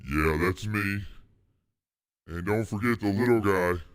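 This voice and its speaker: A man putting on a deep, gruff voice as a big burly buddy who likes to hunt. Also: a little slow, lightly acted. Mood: joking.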